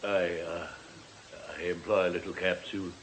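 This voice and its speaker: An actor playing a sanctimonious, evasive cult priest in a radio drama, speaking in a lofty, pompous, unctuous manner. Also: male voice, sits low, level tone, normal speed, a bit bright, slight background noise. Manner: pompous, lofty, pious delivery.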